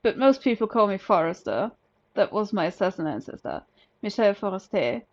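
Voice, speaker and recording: A woman's voice: mid-range voice, very animated, moderate pace, highs cut off. A woman voicing a friendly, chatty male bruiser of a prison inmate in a story, breezy and familiar.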